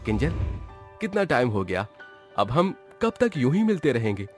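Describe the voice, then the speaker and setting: A man's voice: medium pitch, big pitch swings, normal speed, close to an everyday voice. A man voicing an earnest young boyfriend in love in a cartoon story. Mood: pleading, earnest. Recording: music behind the voice.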